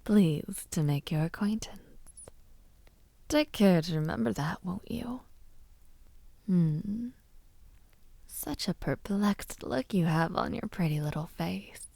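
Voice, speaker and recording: A woman playing a haughty, mocking young villainess princess in an audio roleplay, condescending and teasing, often dropping to a breathy murmur. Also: on the low side, animated, slow pace, pauses often, dark tone, dry recording, heavily acted. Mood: haughty, disdainful. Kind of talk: audio roleplay acting, addressing the listener.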